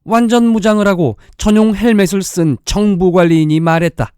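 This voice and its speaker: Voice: medium pitch, fairly even intonation, brisk, a little mellow, clear, resonant tone, dry recording, clean studio sound. A storyteller reading a dramatic science-fiction tale in a scripted narrating style. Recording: music under the voice. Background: background music.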